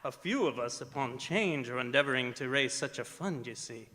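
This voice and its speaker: An actor playing a courteous, earnest Victorian gentleman in a radio drama, speaking formally and persuasively. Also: medium pitch, expressive, moderate pace. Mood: earnest, taken aback. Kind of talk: stage radio drama acting. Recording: reverberant theatre hall recording.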